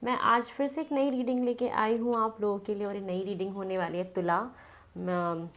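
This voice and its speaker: A woman with a cold and a blocked nose, giving a casual tarot reading to the camera. She talks in a chatty way, repeats her phrases and chants between the cards. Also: medium pitch. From North India. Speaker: tarot card reader.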